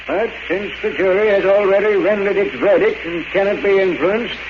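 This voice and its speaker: A noisy, muffled 1940s radio recording carries a man playing a stern courtroom judge. The voice is pitched high for a man, formal and declamatory, with pompous authority in the manner of old radio drama. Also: moderate pace, lightly acted. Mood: official, disapproving.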